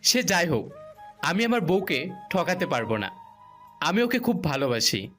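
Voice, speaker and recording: Man acting an earnest employee, very animated pitch. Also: normal speed, faint music behind. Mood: protesting, pleading. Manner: polite, earnest, pleading. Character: deferential married male employee, guilt-ridden and pleading. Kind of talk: romantic audio story acting.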